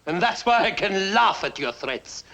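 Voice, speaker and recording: An actor playing a proud, bitter fugitive scientist with a scornful, theatrical manner, in the style of a 1950s film. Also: male voice, sits high, very animated, a little slow, vocal fry, bright, forceful tone, exaggerated voice. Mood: smug, defiant. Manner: theatrical, scornful, self-important. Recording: noisy old 1950s film soundtrack.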